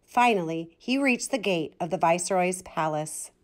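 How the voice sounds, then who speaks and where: A woman reading a children's storybook aloud in an expressive style. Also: fairly low pitch, normal speed. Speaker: storybook reader.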